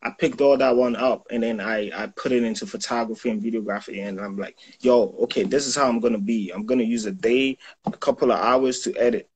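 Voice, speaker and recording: A young man from Ghana speaks over a video call from a small indoor room. His voice is husky, with a middle pitch. He talks loosely and in slang, full of "yo" and "you know what I'm saying". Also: fairly quick, low-quality recording. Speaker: guest photographer and videographer. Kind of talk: casual interview chat.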